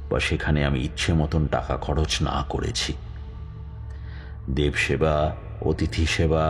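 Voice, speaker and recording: Deep-voiced aging bandit chief. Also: male voice, darkish tone. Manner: measured, deliberate phrasing with dramatic pauses. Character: aging male bandit chief, proud, unrepentant, confessing to police. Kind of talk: dramatised audio story monologue, first-person confession.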